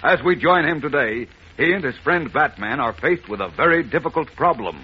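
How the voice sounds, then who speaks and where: A man's voice: on the high side, animated, fairly quick, husky. An American man announcing in 1940s radio style, grand, dramatic and proclaiming. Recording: muffled old radio broadcast.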